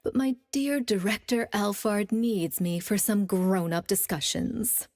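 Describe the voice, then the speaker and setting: A woman's voice: mid-range voice, steady tone, unhurried, darkish tone, lightly acted. Wry, teasing veteran woman agent, played by an actress. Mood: teasing, doting. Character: teasing, jaded veteran woman agent, playfully doting on juniors. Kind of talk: anime game voice acting. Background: background music.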